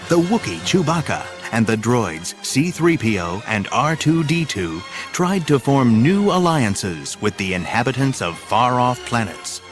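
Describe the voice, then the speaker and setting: Male narrator for adventure audio drama: scripted dramatic storytelling, medium pitch, music bed.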